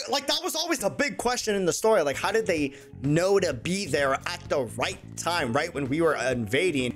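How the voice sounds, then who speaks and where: A man's voice: high-pitched, a bit bright. A man in his twenties giving slangy, exclamatory reaction commentary as a video host. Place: small room indoors, at a desk. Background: game trailer music.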